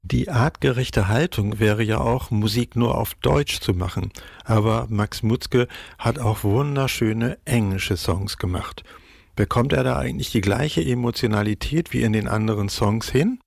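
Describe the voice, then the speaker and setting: Reporter mit trockener, rauer Stimme. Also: male voice, mid-range voice, vocal fry, darkish tone, no echo, studio quality. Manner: measured, deliberate. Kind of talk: scripted report voice-over.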